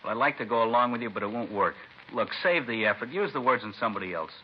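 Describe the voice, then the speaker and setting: A hard-boiled sixteen-year-old punk played by a man snaps back fast and sarcastic, without remorse. It is an old radio crime drama, muffled, with clear background noise. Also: medium pitch, natural voice. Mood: defiant, flippant. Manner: smart-mouthed, sarcastic, unrepentant. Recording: dull, noisy vintage radio recording.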